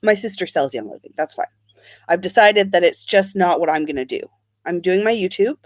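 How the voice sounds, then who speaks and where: A woman's voice: lowish voice, lively intonation, fairly quick, no room sound. Young adult woman, casual beauty vlogger, slightly sniffly, dead-dry room tone. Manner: chatty, rambling, reads and answers live comments. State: sniffly from allergies. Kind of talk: casual livestream chat.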